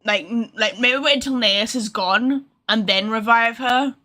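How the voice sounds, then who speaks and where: A woman's voice: on the high side, unhurried, sharp tone. A woman streamer giving casual livestream commentary, rambling and speculating, often trailing off mid-thought.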